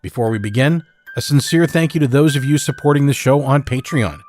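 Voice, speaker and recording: A man introduces an episode of his audio fiction show, reading the script in an earnest, mid-pitched voice. Soft music plays underneath him. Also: fairly quick. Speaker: podcast host.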